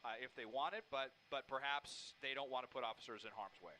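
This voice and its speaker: A man working as a helicopter news reporter, giving halting, improvised live commentary on a police pursuit. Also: medium pitch, bright, forceful tone, a little hiss or hum.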